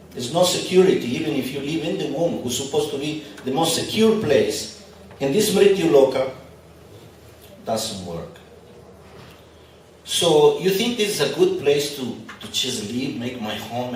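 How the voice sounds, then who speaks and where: A man's voice: mid-range voice, darkish tone, a little hiss or hum. Man lecturing in a very echoey hall. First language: not English. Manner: conversational, asks the audience questions, light humor. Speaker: spiritual teacher. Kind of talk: lecture to a live audience. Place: large echoey venue at an expo. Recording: very echoey hall, speaker far from mic.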